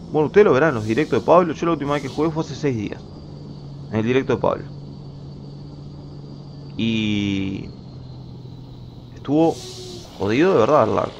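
A young Uruguayan man talks casually and at length while playing a truck-driving game, often restarting his words. His voice sits in an ordinary middle register. The home recording is slightly dull, with faint game engine noise underneath. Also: mid-range voice. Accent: Uruguayan Spanish. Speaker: gaming YouTuber.

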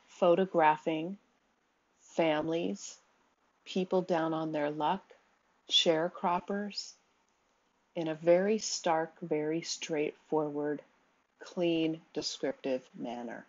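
A woman lectures in a measured, steady voice over a sequence of slides. Her voice sits low and is somewhat husky. The recording is dull and lo-fi. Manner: measured, reads quotes aloud, occasional ums.

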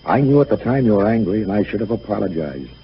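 Through a muffled, hissy 1930s radio broadcast comes a gentle young ghost son. His lowish voice moves freely up and down, wistful, light and boyish. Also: big pitch swings, quick pace. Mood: tender.

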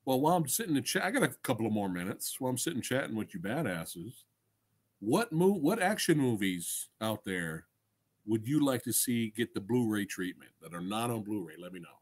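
Animated middle-aged man chatting on a livestream. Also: lowish voice, a little fast, slightly rough. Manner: animated, slangy, enthusiastic, swearing. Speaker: livestream host and film reviewer. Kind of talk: casual livestream chat with viewers. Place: small indoor room crammed with collectibles. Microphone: desk microphone close in front.

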